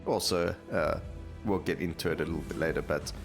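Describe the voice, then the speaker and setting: A man's voice: extremely low pitch, fairly quick, over music. A man giving casual gameplay commentary as the host of a gaming video.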